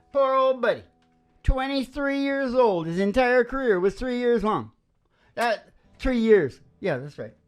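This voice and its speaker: Slow, salty woman in casual chat. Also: a little high, lively intonation, soft background music. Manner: irreverent, salty-tongued. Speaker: singer and show host. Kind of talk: casual rambling chat and acoustic country and rockabilly singing.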